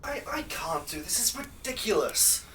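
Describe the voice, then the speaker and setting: A reluctant thunder god , played by a male actor, complains in a lively, exasperated voice that swings up and down. He is heard from some way off, with faint ambience around him, as at a live outdoor performance. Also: high voice, moderate pace, a little hiss or hum, exaggerated voice. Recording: distant mic with ambience.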